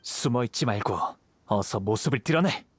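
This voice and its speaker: An actor playing a calm, perceptive young samurai in an anime-style video game, speaking in a composed, serious, measured manner. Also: male voice, mid-range voice, slightly rough. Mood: commanding.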